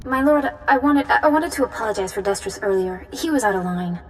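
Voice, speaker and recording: An actress playing a deferential, clever young cult follower in a video game, speaking respectfully and earnestly. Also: female voice, fairly high pitch, expressive, brisk, slight background noise, natural voice.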